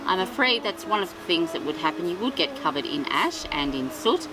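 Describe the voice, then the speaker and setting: Woman, children's read-aloud, extremely lively intonation, chatty cosy asides, repeated phrases, music in the background. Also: lowish voice, brisk. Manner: cosy, simple asides to young listeners, often repeating herself. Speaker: storyteller and host of a children's show. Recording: music behind the voice. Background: clearly audible music.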